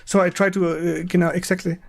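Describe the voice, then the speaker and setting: Creaky-voiced German man hosting a stream. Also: high-pitched, level tone, soft background music. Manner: halting, searching for words in English. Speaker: stream host interviewing a guest. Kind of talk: casual live-stream interview.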